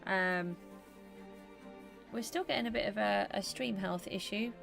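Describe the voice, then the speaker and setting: A woman's voice: mid-range voice, measured pace, creaky, a bit bright, faint music behind. A British woman streaming a building game live, chatting casually and thinking aloud with frequent ums. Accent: British English. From England. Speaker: gaming livestreamer. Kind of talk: casual live-stream chat while playing.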